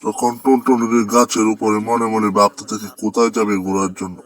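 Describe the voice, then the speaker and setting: A man's voice: lowish voice, fairly even intonation, brisk. A man narrating a children's story, speaking to young listeners in simple, repetitive phrases.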